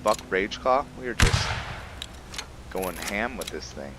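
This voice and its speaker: Deep-voiced man doing casual game commentary. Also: animated, a little slow, very noisy. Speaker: gaming video host.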